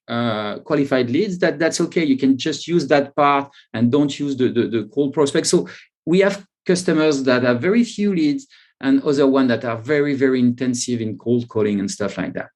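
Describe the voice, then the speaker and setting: A man with a French accent, a native French speaker, answering as an interview guest in halting, repetitive conversational English. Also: medium pitch, a little mellow. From France. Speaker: interview guest, startup CEO.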